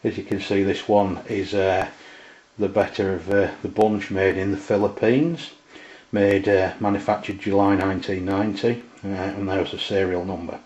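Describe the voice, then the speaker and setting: A British man giving a casual, unscripted walkthrough as a hobbyist presenter, speaking in a halting way. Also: deep voice, flat delivery, a little mellow. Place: indoors in a domestic kitchen. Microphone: camera mic, behind the camera. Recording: roomy, dull camera sound.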